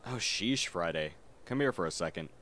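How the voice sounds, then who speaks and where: A man's voice: sits low, animated, moderate pace, fairly bright tone. A man voicing a prim, teasing young male goth art student with an arch, dramatic manner in an ASMR roleplay. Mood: teasing, fussy. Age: young adult. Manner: arch, dramatic, mock-formal wording.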